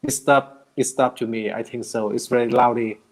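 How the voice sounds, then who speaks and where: A man speaking English as a learner, halting and hesitant, restarting words often in casual chat. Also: medium pitch, fairly even intonation, low-quality recording. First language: not English. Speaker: English learner in conversation practice.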